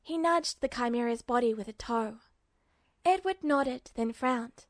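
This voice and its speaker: High-voiced woman narrating a story. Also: unhurried, no echo, clean studio sound. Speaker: podfic narrator. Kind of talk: scripted fan fiction narration.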